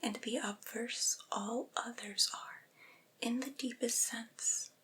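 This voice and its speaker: A woman reading aloud in an ASMR style in a breathy, unvoiced whisper, phrasing each clause deliberately and carefully. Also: a little high, steady tone, sharp tone, low-quality recording. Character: devoted servile female vampire's familiar soothing master.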